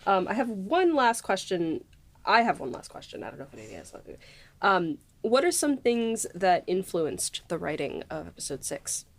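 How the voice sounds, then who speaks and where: A woman co-hosting a podcast, talking casually and informally in halting phrases. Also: lowish voice, big pitch swings, soft background music. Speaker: writer and co-host of a behind-the-scenes podcast. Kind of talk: casual chat.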